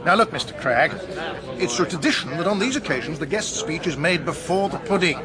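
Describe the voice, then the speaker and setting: An actor playing a pompous, exasperated British bank governor in a radio drama, formal and indignant in manner. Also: male voice, fairly high pitch, lively intonation, fairly quick, crowd noise, lightly acted.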